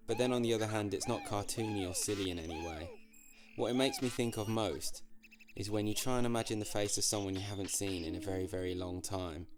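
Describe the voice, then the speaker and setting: A man reading a scripted first-person story in a measured, dry, literary manner with deliberate pauses. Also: on the low side. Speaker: narrator.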